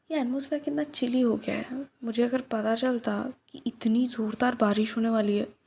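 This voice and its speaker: A woman speaks slowly and softly to an unseen listener, teasing him gently as a young flower shop owner. Her voice sits a little high but sounds mellow and dark, with a gravelly fry under it. Now and then she sinks into breathy whispers. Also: a little hiss or hum. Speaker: voice actress in a listener roleplay. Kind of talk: ASMR roleplay, one side of a conversation.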